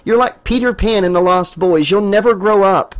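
A man's voice: lively intonation, a little slow, exaggerated voice. A man reading an audiobook, voicing a frantic, furious young woman in a raised, strained voice. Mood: scornful. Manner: high strained pleading and shouting.

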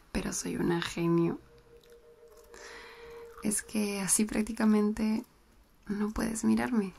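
A woman's voice: medium pitch, level tone, takes it slowly, creaky, long pauses, a little hiss or hum. A woman playing a giggly whispering flirt. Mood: flirty whisper. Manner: soft close whispering, giggly. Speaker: ASMR roleplay performer. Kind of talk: whispered ASMR roleplay.

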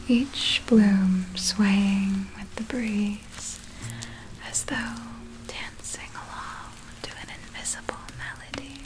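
A woman voicing a seductive, predatory vampire in a breathy ASMR whisper, telling a story with slow, lingering, deliberate phrasing. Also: medium pitch. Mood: wistful, savoring. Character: seductive, predatory vampire thief recounting nocturnal prowls.

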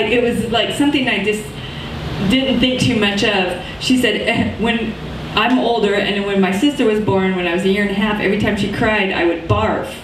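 A middle-aged woman at an open mic in a small coffee shop, telling jokes and stories in a rambling, deadpan way on a handheld mic. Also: fairly high pitch, slight background noise. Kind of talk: stand-up comedy set. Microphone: handheld mic, far camera. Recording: echoey room from far off.

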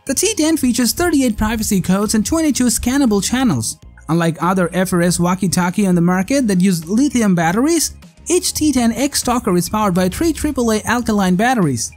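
A narrator with a mid-pitched voice delivers a scripted product review. The intonation moves up and down in a lively way, with a measured, promotional read-aloud delivery. Faint music plays behind the voice. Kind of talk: scripted narration of a tech countdown. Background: background music.

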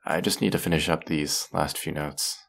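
A young man acting a tired, overworked, affectionate college boyfriend in an intimate roleplay audio, speaking softly and drowsily with hesitations and sighs. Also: rumbling low, fairly even intonation, normal speed, gravelly, heavily acted. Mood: sleepy, affectionate. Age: young adult.

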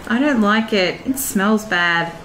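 A woman's voice: mid-range voice, takes it slowly. A woman playing a sassy, curious young rebel pilot in an audio drama, sardonic and plain-spoken. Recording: echoey, loud background noise.

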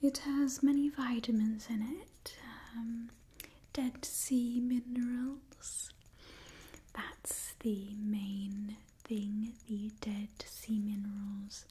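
A young woman playing a refined, doting vampire clan leader in a whispered ASMR roleplay, speaking in a genteel, gently imperious manner. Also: on the high side, flat delivery, very slow. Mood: instructive, soothing. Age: young adult. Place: small indoor room with wooden backdrop.

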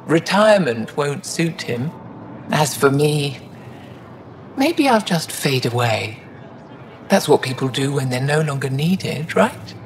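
A woman voicing an elderly, wise and wry alien scholar in a video game, speaking in a measured, dignified way. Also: sits low, sing-song, a little hiss or hum, heavily acted. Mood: thoughtful, wry. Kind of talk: video game dialogue acting.

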